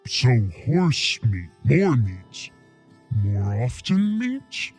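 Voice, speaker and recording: A man voicing a simple, stubborn, hungry troll in a tabletop game, with a gravelly, husky voice and halting broken grammar. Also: medium pitch, huge pitch swings, faint music behind, voice pushed down, exaggerated voice. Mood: hungry pondering.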